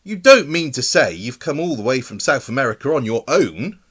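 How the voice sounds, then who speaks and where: A man's voice: medium pitch, sing-song, a little fast, fairly bright tone, husky, voice pushed up. A man voicing a kindly, motherly woman in a storybook who is exclamatory and takes charge. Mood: astonished, curious.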